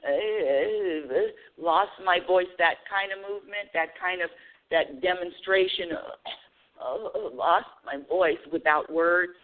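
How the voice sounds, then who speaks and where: A woman's voice: on the low side, takes it slowly. A woman hosting a spiritual call-in radio show, talking earnestly and often restarting her phrases. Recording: tinny phone-line audio.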